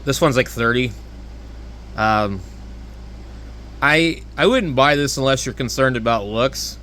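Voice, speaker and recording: Casting: plainspoken man, mid-pitched, loose and halting hobbyist reviewer, noisy recording. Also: a bit bright. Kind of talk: unscripted, casual radio gear review.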